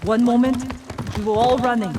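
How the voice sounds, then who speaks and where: A woman's voice: a little high, fairly even intonation, moderate pace, background noise, close to an everyday voice. A woman playing a tough, driven thief in a video game, with a terse and earnest delivery. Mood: pained urgency. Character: tough, driven female thief carrying guilt. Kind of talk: dramatic video game acting.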